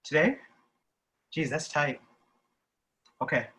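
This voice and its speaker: A man playing a brisk, pushy office boss in his thirties at a screenplay table read. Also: fairly high pitch, lively intonation, pushed and bright, full character voice. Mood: distracted, harried.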